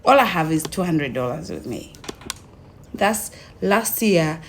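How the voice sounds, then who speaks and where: A young woman with a Tanzanian accent tells her own story casually, in an animated way, acting out conversations as she goes. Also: on the low side, unhurried, a little hiss or hum. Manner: animated retelling, acts out quoted conversations.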